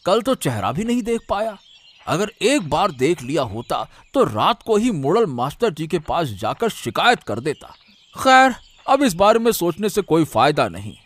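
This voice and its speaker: Man acting a high-pitched blustering village bully. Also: big pitch swings, moderate pace, a bit bright, dry recording. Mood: puzzled, brooding. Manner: blustering, exclamatory, repeats commands. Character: bullying, scheming village orchard owner, angry accuser. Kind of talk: Hindi cartoon moral-story voice acting.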